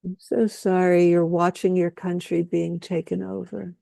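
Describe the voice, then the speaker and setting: Woman, low gravelly voice, slow solemn spoken word, long pauses, dry close sound. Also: little pitch movement, warm tone, no room sound.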